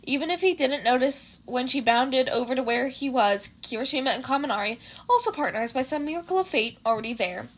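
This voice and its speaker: A woman's voice: sits high, expressive, fairly quick, few pauses. A woman podfic reader narrating fan fiction and chatting informally about it in a slangy way.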